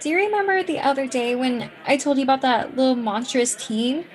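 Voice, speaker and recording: A distraught townswoman, acted by a woman in a radio play. Her voice is high and her manner melodramatic. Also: brisk, close to an everyday voice. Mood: horrified, distraught. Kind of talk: amateur radio play acting.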